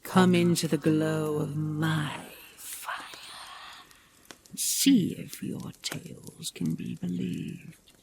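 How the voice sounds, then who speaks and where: A woman playing an imperious, jealous dragon queen in a fantasy audio drama, speaking in a regal, deliberate, threatening manner. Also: sits low, little pitch movement, gravelly, darkish tone, whispered, heavily acted. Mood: menacing, accusing. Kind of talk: fantasy audio roleplay acting.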